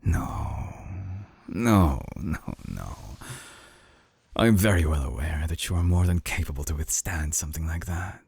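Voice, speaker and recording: A man playing an obsessive, calculating mad-scientist villain in an intimate audio drama, coaxing in a husky half-whisper with slow, silky menace. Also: animated, a little mellow, no room sound, heavily acted. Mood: possessive, coaxing. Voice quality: very deep, gravelly, husky, breathy half-whisper. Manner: slow, deliberate, silky menace with lingering pauses. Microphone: close binaural microphone, very dry.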